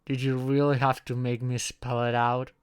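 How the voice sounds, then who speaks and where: A man's voice: mid-range voice, flat delivery, normal speed, clean tone, dry recording, clean studio sound, natural voice. A man playing a shy, flustered young social outcast in a romantic audio roleplay, speaking hesitantly with stammers and sighs. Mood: bashful teasing.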